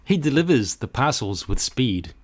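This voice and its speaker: A man reading a rhyming children's story aloud in an expressive, rhythmic, dramatic way. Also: mid-range voice, moderate pace.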